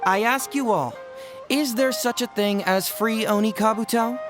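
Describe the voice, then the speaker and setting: A woman's voice: on the high side, normal speed, over music, lightly acted. A woman voicing a cocky, clever young male detective in a game, glib and playful. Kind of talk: game cutscene voice acting.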